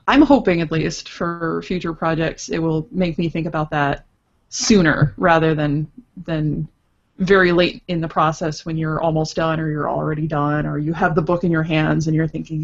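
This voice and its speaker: A slightly dull recording carries a woman with a fairly low voice. She talks casually as a podcast guest, thinking her points through with hypothetical examples. The delivery is conversational and reflective. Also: steady tone, normal speed, creaky. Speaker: podcast guest, interactive fiction writer.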